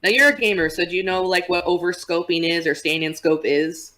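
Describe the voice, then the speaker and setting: Low-voiced young woman, laid-back chat. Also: fairly quick. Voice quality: low voice with a relaxed vocal rumble. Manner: laid-back, chatty, laughs often. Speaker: podcast guest, cosplayer. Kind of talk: casual podcast interview.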